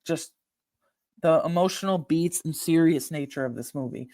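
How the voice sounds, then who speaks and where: A man hosting a film ranking video, talking informally and emphatically with slang and a halting, opinionated delivery. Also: on the high side, mellow, dry recording, studio quality. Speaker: video host ranking films.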